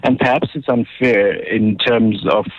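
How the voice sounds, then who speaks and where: A man's voice: on the low side, unhurried, husky, no echo. A Zimbabwean man giving a hesitant, thoughtful comment as a caller to a phone-in, with a Zimbabwean accent. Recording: dull lo-fi phone call on radio.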